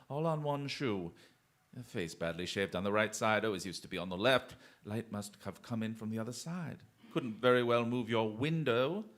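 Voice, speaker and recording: A man performs a brilliant, restless gentleman detective for a radio drama. His medium-pitched voice is grandly theatrical and eloquent, with the quick wit of someone who is always a step ahead. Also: lightly acted.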